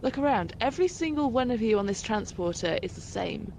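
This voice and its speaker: A woman's voice: a little high, animated, a little fast, vocal fry, darkish tone, slight background noise. A woman playing a cynical, taunting prison-transport guard in an audio drama. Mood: knowing, cool.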